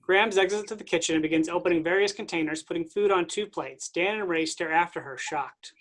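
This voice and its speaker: A reader giving the stage directions of a play in a plain, even way. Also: medium pitch, a little fast. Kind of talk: reading stage directions aloud.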